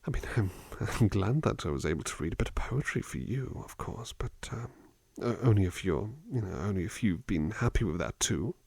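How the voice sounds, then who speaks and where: A man playing a gentle, attentive butler in an ASMR roleplay, musing in a polite, hesitant, formal way into a close microphone. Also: deep voice, animated, fairly quick, vocal fry, hardly any pauses, slightly rough, no echo, exaggerated voice. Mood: politely attentive. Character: gentle, musing, attentive male butler looking after someone. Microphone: close dry microphone.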